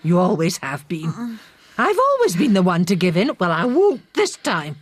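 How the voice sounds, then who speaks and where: An actress playing an anxious, devoted older Scottish sister in a radio drama, husky, fretful and emphatic. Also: female voice, fairly low pitch, wildly swooping pitch, normal speed, dry recording. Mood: exasperated.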